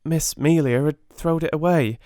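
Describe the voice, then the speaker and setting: A man's voice: on the high side, unhurried, warm tone, no room sound, studio quality, voice pushed up, close to an everyday voice. A man voicing a humble, timid young servant girl with a working-class English accent, stammering and deferential, in an audiobook. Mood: anxious, earnest. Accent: working-class English dialect.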